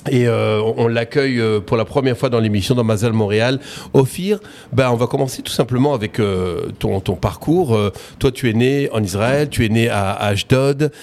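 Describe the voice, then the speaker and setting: A man's voice: medium pitch, moderate pace, darkish tone. A man with a French accent hosting a casual radio interview, chatty and full of fillers. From France. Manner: friendly, chatty, many fillers and repeated words. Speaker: radio show host.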